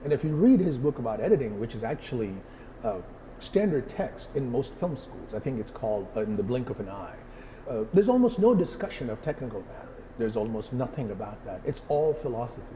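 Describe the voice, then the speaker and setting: A man's voice: medium pitch, sing-song, brisk, dark tone, a little hiss or hum, poor audio. A middle-aged man from Pakistan, a photographer answering interview questions thoughtfully, with many fillers and restarts.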